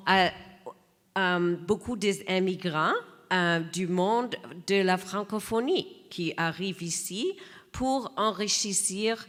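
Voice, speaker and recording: A woman speaking as an election candidate in a debate, measured, with many hesitations. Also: on the low side, a bit bright. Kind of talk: debate statement. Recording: reverberant hall.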